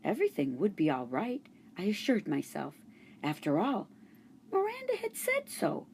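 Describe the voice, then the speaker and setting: A woman reading a children's chapter book aloud, narrating in first person with an expressive storytelling voice. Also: mid-range voice, moderate pace, a little hiss or hum. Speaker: storybook reader.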